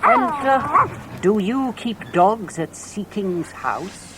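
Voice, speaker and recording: An oily, effusive sham curate in an audio drama, pompous and full of chuckles. His pitch swoops widely as he feigns joviality, with clear noise behind him. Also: huge pitch swings, takes it slowly, exaggerated voice. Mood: sly, chuckling. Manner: pompous, chuckling, ending remarks with "what?".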